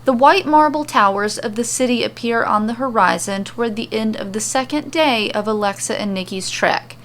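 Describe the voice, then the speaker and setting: A woman's voice: a little high, moderate pace, fairly bright tone. A woman hosting and reading aloud her own podcast-style fantasy fiction. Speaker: host and story reader. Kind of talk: narrating homemade fantasy fiction.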